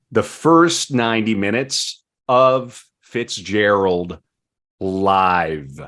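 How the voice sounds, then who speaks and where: A man hosting a podcast in casual talk, with a wry, joking manner and halting pauses. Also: sits low, fairly bright tone. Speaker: podcast host.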